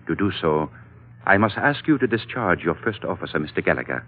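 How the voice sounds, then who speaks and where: Deep, stately old Chinese patriarch. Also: male voice, sing-song. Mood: grave warning. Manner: formal, stately, measured oratory. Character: elderly dignified Chinese patriarch, solemn, fatherly employer. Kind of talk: 1940s radio drama acting. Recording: muffled vintage radio recording, music behind.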